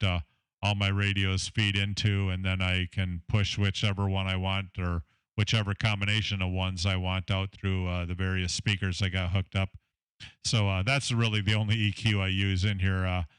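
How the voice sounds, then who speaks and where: A deep-voiced man with a Southern American accent, chatting casually about his radio audio setup from his home shack, with a lot of fillers and technical talk. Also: a bit bright, smooth, no room sound, low-quality recording. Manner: rambling, filler-heavy, technical. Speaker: ham radio operator, station owner.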